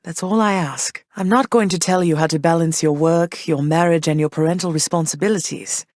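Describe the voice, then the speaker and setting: An actress voicing a gentle, motherly goddess queen of the underworld, speaking warmly and graciously in game voice lines. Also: low-pitched, steady tone, a little fast, no echo, close to an everyday voice. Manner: warm, gracious, softly reassuring. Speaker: voice actor. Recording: dry studio voice recording.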